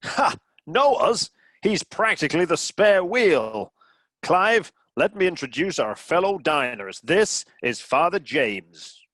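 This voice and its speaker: Blustering American husband played by a man, comedy radio play: forceful, highish, mocking, dry close sound. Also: big pitch swings, no room sound, exaggerated voice. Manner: brash, mocking, quick to threaten. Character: blustering, sarcastic, bullying rich American husband.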